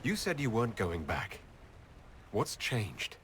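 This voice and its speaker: Earnest male elf hero, fairly low voice, lively intonation, game cutscene, faint noise. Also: slow, full character voice. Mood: hurt, concerned. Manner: direct, probing. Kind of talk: video game cutscene acting.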